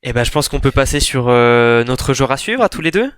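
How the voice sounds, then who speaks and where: Man, casual chat, smooth clear voice, dry close mic, hesitant fillers. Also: medium pitch, sing-song, brisk, fairly bright tone, no room sound. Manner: hesitant, thinking aloud with fillers. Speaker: podcast co-host.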